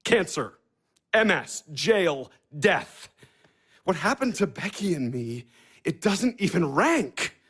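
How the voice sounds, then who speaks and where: A man's voice: high voice, big pitch swings, slow pace, fairly bright tone, slightly rough, full character voice. An actor playing a bitter, defensive and sarcastic man in a naturalistic audio drama, talking bluntly and combatively with plenty of swearing. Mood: argumentative, dismissive.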